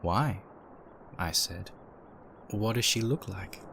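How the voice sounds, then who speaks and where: A man acting as the brooding, uneasy narrator of a dark horror audio drama, reading in a dramatic scripted style. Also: on the low side, slow, vocal fry, full character voice. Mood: uneasy, hesitant. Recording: noisy, with background ambience effects.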